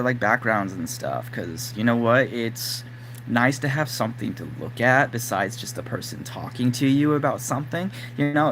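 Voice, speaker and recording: A man whose voice is going hoarse, talking casually and rambling to his live-stream audience with plenty of fillers. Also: mid-range voice, fairly bright tone, slight background noise, rough recording. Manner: rambling, lots of fillers like "like" and "you know". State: losing his voice, throat-clearing. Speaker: livestream host.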